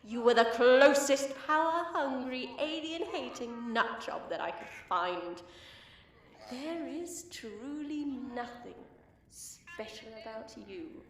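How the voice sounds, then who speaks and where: Villainess for a woman: high, lilting, mocking, unhurried, lots of pitch swings. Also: lively intonation. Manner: sing-song, mocking, calls people dearie. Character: gloating scheming villainess, mocking and theatrical. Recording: roomy, distant, faint noise.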